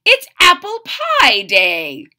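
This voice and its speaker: A cheerful, welcoming storybook king acted out by a woman reading aloud. The voice is loud and bright, sitting high and leaping about in pitch. Each welcome comes out as a stately exclamation. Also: huge pitch swings, slow, full character voice. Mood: eager. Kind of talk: children's storybook read-aloud.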